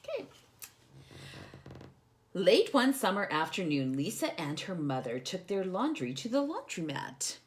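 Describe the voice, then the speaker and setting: A woman reading a picture book as a storytime host, animated and addressing young children directly with questions. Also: lowish voice, a little slow, pauses often, a little hiss or hum.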